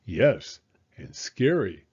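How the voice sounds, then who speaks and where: Man playing young female beaver, storybook character, know-it-all, excitable, husky, slightly dull recording. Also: mid-range voice, drawn-out words, full character voice. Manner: emphatic, giggly.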